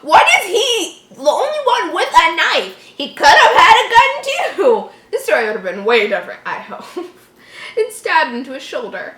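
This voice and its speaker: A woman's voice: very high voice, big pitch swings, normal speed, fairly bright tone, reverberant. A woman reading a horror story aloud casually, giggly and reactive, breaking off to joke and comment.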